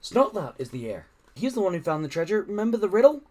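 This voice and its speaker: A man's voice: sits high, very animated, quick pace, a little mellow, voice pushed up. A man voicing an earnest, reasonable young Viking boy, a reluctant storybook hero. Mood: protesting.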